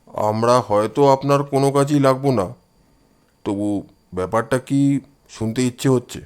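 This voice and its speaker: A man voicing a calm, modest, shrewd amateur detective with a measured, polite way of talking in an audio story. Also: mid-range voice, clean tone, voice pushed down, close to an everyday voice.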